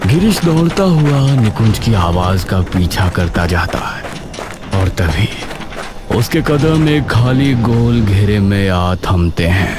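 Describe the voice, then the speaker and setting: A man narrating a horror cartoon in a slow, dramatic, suspenseful voice. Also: on the low side, sing-song. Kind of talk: scripted horror storytelling. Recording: music bed under voice.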